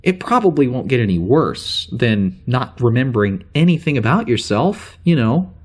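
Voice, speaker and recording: An actor playing a troubled starship officer with amnesia, halting and rambling as he records a log in a naturalistic audio drama. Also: medium pitch, sing-song. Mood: wry unease. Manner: halting, rambling, self-interrupting.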